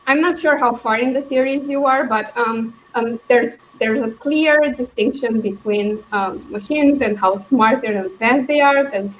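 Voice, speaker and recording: A woman in a casual book discussion, thinking aloud in a hesitant, halting way as she hosts. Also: fairly high pitch, dull audio, a little hiss or hum. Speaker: book club host.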